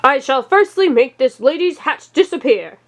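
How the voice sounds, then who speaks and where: A vain and foolish storybook king, voiced by a woman reader. The voice is high and declaiming, full of self-importance. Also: lively intonation, normal speed. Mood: boastful.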